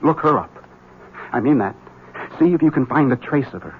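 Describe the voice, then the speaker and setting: An actor playing an agitated, desperate husband in an old-time radio drama, speaking with intense, insistent urgency. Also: male voice, medium pitch, expressive, moderate pace, slight background noise, lightly acted. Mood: desperate, urgent. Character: agitated, desperate husband convinced his wife is a witch. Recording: dull lo-fi vintage radio recording.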